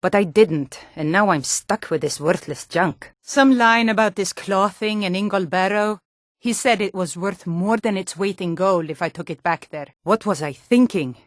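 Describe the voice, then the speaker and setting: A woman's voice: mid-range voice, moderate pace, no echo, studio quality, close to an everyday voice. A woman voicing a hardy, proud Nord warrior in a fantasy video game, speaking in an earnest, firm and plain-spoken way. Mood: fed up, grudging.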